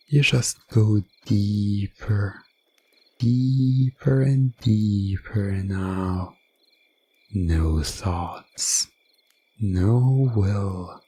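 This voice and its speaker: A man playing a sinister, gloating hypnotist in a hushed, coaxing and commanding hypnosis ASMR drama. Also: on the low side, slight background noise. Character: sinister, gloating male hypnotist serving a game master.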